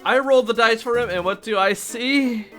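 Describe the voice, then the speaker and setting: Male storyteller host for tabletop gaming video: bright, forceful high voice, theatrical and playful delivery. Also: normal speed. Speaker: video host and game master. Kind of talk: animated scripted storytelling of a tabletop campaign.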